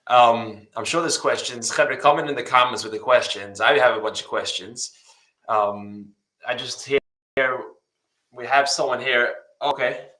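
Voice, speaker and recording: Bright-voiced man in a roomy space. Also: medium pitch, moderate pace. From New York. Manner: relays viewer messages in a loose, filler-heavy way. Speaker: co-host reading viewer questions. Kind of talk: casual live chat.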